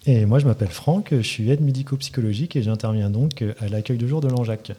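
A man's voice: medium pitch, fast talker, dark tone, breathy edge. A man presenting a community radio show, often hesitating as he speaks. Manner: frequent hesitations with filler sounds. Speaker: radio presenter, care worker.

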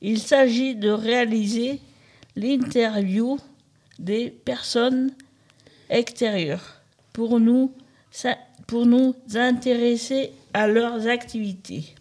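A woman in her fifties reading a prepared text aloud in a halting, word-by-word way. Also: fairly high pitch, a little mellow. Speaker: radio show participant.

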